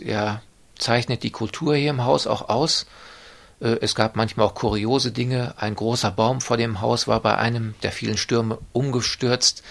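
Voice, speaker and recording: A man answers interview questions in a measured, formal way, often pausing to hesitate. His voice carries a gravelly edge. He speaks at a middle pitch. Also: a bit bright. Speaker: interviewee describing the student residence.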